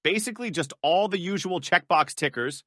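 A man delivers scripted commentary in a high, sharp voice. His tone is scornful and sarcastic. The voice-over is close and very dry. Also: normal speed, no echo. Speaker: video commentator.

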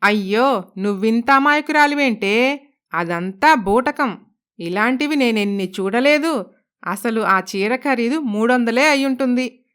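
High clear female voice, a woman playing a miserly middle-aged mother-in-law, stubborn, scolding, blunt, cartoon storytelling. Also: unhurried, a bit bright. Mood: scolding, dismissive. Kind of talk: Telugu animated moral story voice acting.

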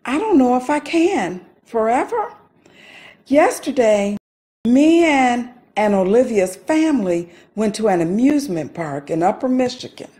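A woman playing a distressed, guilt-ridden older sister in an audio drama, halting and repeating her words. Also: on the high side, animated, warm tone. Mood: troubled, halting. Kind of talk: naturalistic audio drama acting.

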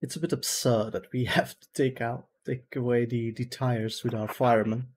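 A man's voice: mid-range voice, steady tone, moderate pace, a little mellow, breathy edge. A man in his thirties whose first language is Dutch, chatting casually through a headset while playing a game at home and laughing at his own mistakes. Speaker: gaming streamer. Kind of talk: casual let's-play commentary. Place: small room at home.

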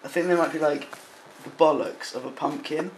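A man's voice: on the high side, fairly even intonation, moderate pace. A young adult man making a prank phone call, asking politely and hesitantly with feigned earnestness. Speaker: prank caller. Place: dim room at home. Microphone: handheld camera close by. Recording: amateur camcorder audio with clear noise.

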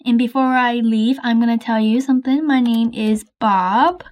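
Cast: woman as a shifty, pushy stranger, evasive, somewhat high voice, game roleplay. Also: normal speed, a little mellow, lightly acted. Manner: evasive, pushy, brushes off questions. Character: shady, pushy stranger named Bob. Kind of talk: improvised game roleplay voice-over.

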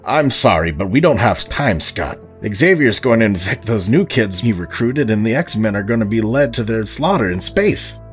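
Brief: male actor as an apologetic pirate father, low voice, lively pitch, audio drama. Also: soft background music, lightly acted. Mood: remorseful. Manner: earnest, halting and apologetic. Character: remorseful middle-aged space pirate father.

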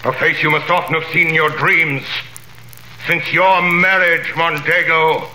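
A vengeful nobleman who commands the scene, theatrical and imperious, dropping to icy whispers and rising to thundering accusation. The tone is bright and forceful. It is an old radio recording with a roomy echo and faint hiss. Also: fairly high pitch, fairly even intonation, unhurried. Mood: triumphant. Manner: commanding, theatrical, swings from cold hush to thundering. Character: vengeful nobleman, a wronged man returned in triumph. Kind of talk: 1930s radio drama acting.

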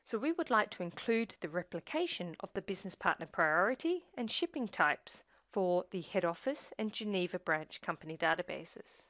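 Dry-sounding woman narrating a software tutorial. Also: on the low side, animated, no echo. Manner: measured step-by-step instruction. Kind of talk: scripted screencast narration.

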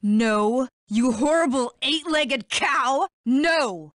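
An actress playing a hot-tempered fox police inspector in a video game, defiant and threatening. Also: female voice, sits high, animated, very slow, fairly bright tone, no room sound, clean studio sound, exaggerated voice. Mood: defiant, furious.

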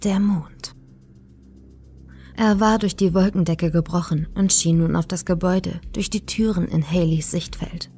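Woman narrator, horror audiobook, mellow dark tone, suspenseful, measured, faint music underneath. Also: fairly low pitch, steady tone. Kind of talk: dramatic scripted horror narration. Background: faint atmospheric music.

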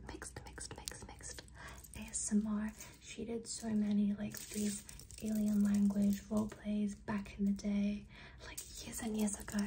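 A young woman in her twenties chatting in a soft ASMR whisper, repeating words slowly as triggers, recorded close to the mic in a small bedroom. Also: medium pitch. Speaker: ASMR creator. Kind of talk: whispered ASMR outro chat. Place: small bedroom with red lights. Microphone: close mic, facing camera.

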